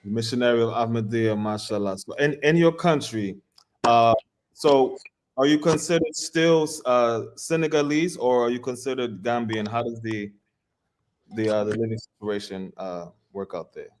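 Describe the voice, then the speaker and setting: A man's voice: mid-range voice, normal speed, slight background noise. Man asking rambling interview questions. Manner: rambling, searching for words when asking.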